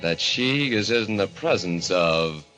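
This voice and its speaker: Really deep grave old radio narrator, ominous and deliberate, bit of music behind him. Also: male voice, expressive, bright, forceful tone, exaggerated voice. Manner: solemn, portentous, deliberate. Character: grave, ominous male narrator of horror mystery. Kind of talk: golden age radio narration. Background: dramatic music.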